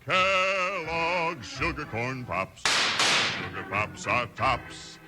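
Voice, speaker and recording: A cheerful American cowboy in a vintage radio jingle. He delivers rhymes slowly and rhythmically in a mid-pitched voice over prominent music. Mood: cheerful, rhythmic.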